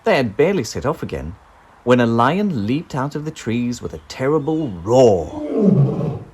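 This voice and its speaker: A man narrates a children's storybook at an unhurried pace, and his voice rises and falls in a lively, dramatic way. His tone has a husky, slightly breathy edge. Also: mid-range voice. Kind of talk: children's storybook read-aloud.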